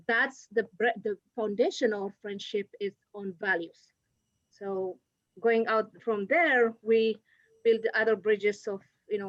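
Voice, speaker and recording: A woman from Ethiopia, not a native English speaker, talking as a diplomat on a video call, halting with many fillers and restarts. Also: mid-range voice, no room sound, lo-fi sound.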